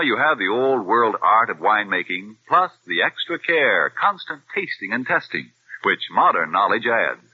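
A male radio announcer reading a sponsor advertisement in a smooth, persuasive 1940s style. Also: medium pitch, no echo. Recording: tinny, dry old radio broadcast.

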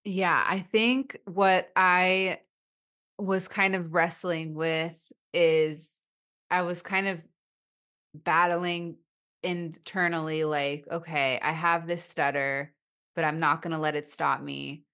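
A woman's voice: low-pitched, fairly even intonation, takes it slowly, sharp tone. A woman hosting a podcast interview who speaks with a stutter, with repeated sounds and blocks, and talks in a reflective, thoughtful way. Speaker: podcast host. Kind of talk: conversational interview.

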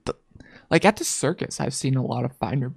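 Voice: mid-range voice, big pitch swings, brisk, creaky, darkish tone, husky. A livestream host chatting casually with a guest in an informal, encouraging way. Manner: informal and encouraging, with 'man' interjections. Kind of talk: casual chat.

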